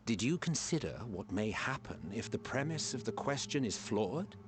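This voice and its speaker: A man's voice: medium pitch, big pitch swings, normal speed, background noise, close to an everyday voice. A man as a haughty, sardonic sorcerer. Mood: probing, grave. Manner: pointed, imperious, sardonic. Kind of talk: video game cutscene acting, theatrical formal speech. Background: music.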